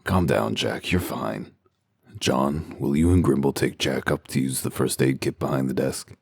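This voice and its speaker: A man voicing a gruff, sardonic werewolf detective in a comic dub, with a growling, commanding delivery. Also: moderate pace, dry recording, heavily acted. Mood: dry sarcasm. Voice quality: very deep, gravelly growl. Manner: dry, sarcastic, commanding.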